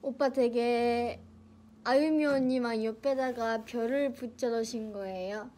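A woman's voice: steady tone, unhurried, slight background noise. A young woman in her twenties chatting playfully on a phone livestream, teasing and answering comments. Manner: playful and teasing, bursts into high shouts and laughs. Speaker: idol livestream host. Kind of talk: casual livestream chat reading comments. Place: small bright indoor room, leaning on a table. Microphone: phone held close at arm's length.

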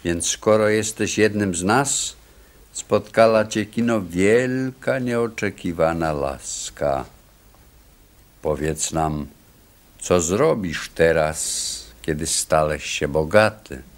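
An actor playing a solemn, wise village elder in a radio play, with a measured, grave delivery. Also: male voice, deep voice. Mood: solemn, commanding. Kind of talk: radio drama acting, solemn storytelling.

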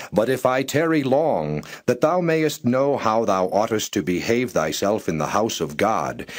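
Man solemnly reading scripture, expressive pitch. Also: husky. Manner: measured, solemn, formal delivery. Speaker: Bible narrator.

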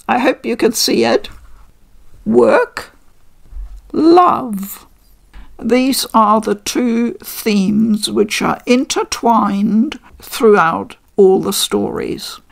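An older woman hosting a casual unboxing review from her living room, chatty and measured with frequent pauses. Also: a little high, creaky. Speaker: game reviewer host.

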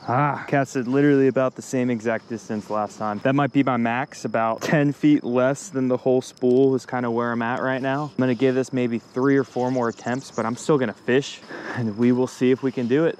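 A man's voice: medium pitch, steady tone, fairly quick, darkish tone. A man hosting a fishing vlog outdoors, talking casually and informally to viewers with mild slang. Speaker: fishing video host. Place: outdoors by a pond. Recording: noisy outdoor ambience.

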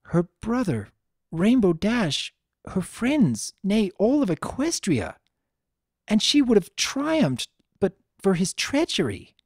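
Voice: medium pitch, huge pitch swings, slow, breathy edge, dry recording, clean studio sound, voice pushed up, exaggerated voice. A narrator voicing a formal, earnest female pony princess in a dramatic story reading, with archaic, stately diction. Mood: grave, urgent.

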